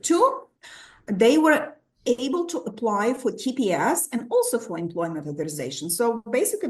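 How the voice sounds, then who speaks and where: A middle-aged woman with a Ukrainian accent, an immigration attorney explaining things in a measured way with many hesitations. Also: mid-range voice. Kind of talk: explanatory interview answers.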